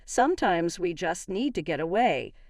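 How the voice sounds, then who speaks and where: Woman voicing a defensive, evasive husband, animated delivery. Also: lowish voice, normal speed, no echo, studio quality. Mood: defensive pleading. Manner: defensive, pleading. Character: evasive, defensive husband devoted to his mother. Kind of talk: acted dialogue in a narrated story.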